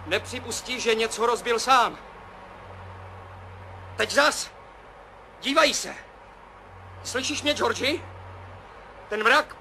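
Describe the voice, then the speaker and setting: An actor playing an awed, rambling test pilot in a 1970s radio play, musing in halting short phrases. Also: male voice, very high voice, pushed and bright, exaggerated voice. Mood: uneasy awe. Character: male test pilot, awed, rambling, seeing strange visions. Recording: noisy 1970s radio drama, engine drone.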